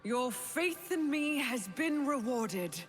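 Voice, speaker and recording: A woman playing a calm, stoic, fearless wind goddess in a fantasy game, speaking in a lofty, deliberate way. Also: high-pitched, soft background music. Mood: serene. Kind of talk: scripted fantasy game voice lines.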